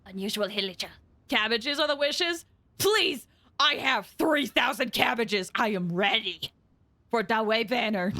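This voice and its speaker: A woman's voice: fairly high pitch, unhurried, sharp tone. A woman game streamer giving casual live commentary with exclamatory, gushing reactions. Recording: game music behind the voice.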